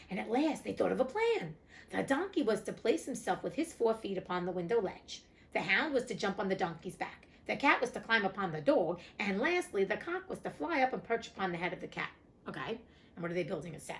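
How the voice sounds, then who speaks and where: A woman reading a classic fairy tale aloud, breaking off for chatty, irreverent asides with casual swearing. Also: mid-range voice. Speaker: host reading classic stories.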